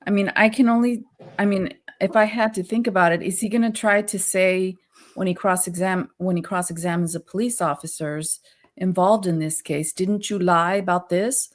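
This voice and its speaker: A female co-host discusses a court case conversationally. Her voice sits somewhat low for a woman, and she talks it through as casual commentary. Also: fairly even intonation, moderate pace. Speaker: co-host of a legal commentary show.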